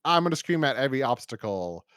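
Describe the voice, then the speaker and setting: Animated man chatting on a podcast. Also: medium pitch, fast, smooth, dry recording, clean studio sound. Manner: animated, rambling, acts out imagined lines. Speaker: podcast co-host. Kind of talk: casual review discussion.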